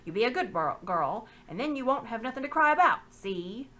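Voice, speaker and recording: A woman's voice: fairly high pitch, very animated, fairly quick, fairly bright tone, voice pushed up. A woman voicing a coarse male slave-dealing pirate who talks in a fawning, wheedling, ungrammatical way. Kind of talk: storybook reading.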